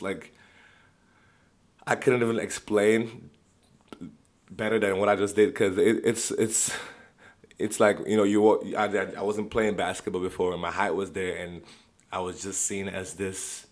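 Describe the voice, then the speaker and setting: A young man telling personal stories in a rambling way, with many restarts and frequent laughter. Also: lowish voice. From Nigeria. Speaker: vlogger. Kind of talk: casual storytelling.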